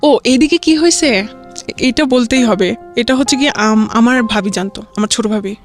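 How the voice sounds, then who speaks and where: A young adult woman with a Bangladeshi accent tells a personal story as an interview guest, rambling and halting, often repeating filler phrases. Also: fairly high pitch, faint music behind. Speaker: guest telling own life story.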